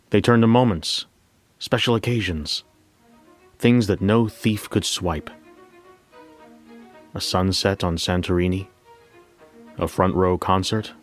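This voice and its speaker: An actor playing a wry, reflective bank coordinator who tells his story in the first person, in a measured, conversational way. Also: sits low. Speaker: narrator. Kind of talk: first-person scripted audio fiction narration. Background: music.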